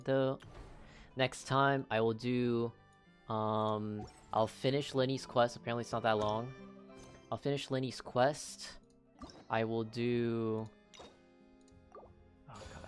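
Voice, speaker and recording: A man's voice: mid-range voice, fairly even intonation, slow pace, long pauses, faint music behind. A young man livestreaming a game, chatting casually in a laid-back way and often muttering to himself. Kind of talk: casual stream chat while gaming.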